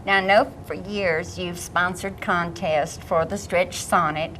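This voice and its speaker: A woman interviewing a poet, asking questions in a warm, encouraging, chatty way. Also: on the low side, steady tone, unhurried, low-quality recording. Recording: faint background noise.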